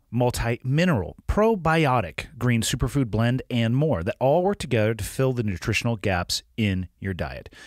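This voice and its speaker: A man with a head cold reads podcast ads, his voice a little nasal. His pitch swings up and down a lot as he pushes each pitch with emphatic energy. The sound is dry and close, with no room around it. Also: dry recording. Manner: upbeat, emphatic sales pitch. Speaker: podcast host reading ads. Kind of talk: ad read.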